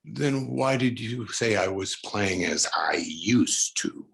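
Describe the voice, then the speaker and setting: A man playing Martin, an anxious, self-doubting musician, halting and brooding in a 1940s-style radio thriller. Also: lowish voice, expressive, creaky, a bit bright, exaggerated voice. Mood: agitated. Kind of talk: 1940s radio drama acting.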